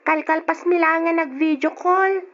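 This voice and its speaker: A woman's voice: very high voice, steady tone, normal speed, a little hiss or hum. A woman playing a polite, dutiful young daughter in an Ilocano radio drama. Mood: obedient, sweet. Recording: muffled old radio drama recording.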